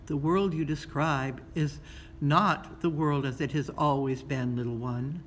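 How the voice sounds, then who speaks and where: A woman voicing an ancient, wise female turtle in a storybook, speaking low, soft, slow and solemn. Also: fairly even intonation. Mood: solemn, gentle. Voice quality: low, rumbling yet soft. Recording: clearly noisy background ambience.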